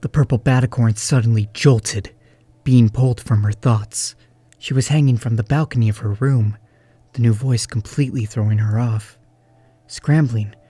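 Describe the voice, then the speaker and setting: A man's voice: medium pitch, mellow, slightly rough, no echo. A man narrating a scripted story as the narrator of an audio drama. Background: faint music.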